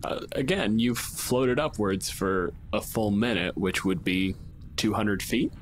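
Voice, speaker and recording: A man's voice: fairly low pitch, unhurried, slight background noise. A man acting as game master, casually narrating a tabletop game with a sweary, teasing, informal manner.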